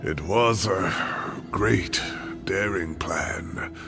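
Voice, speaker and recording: Guilt-laden old mentor, game character, unhurried delivery, grave, music behind the voice. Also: male voice, low-pitched, full character voice. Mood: solemn, confessional. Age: older. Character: weary secretive old male scholar, guilt-laden mentor. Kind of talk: dramatic video game voice acting.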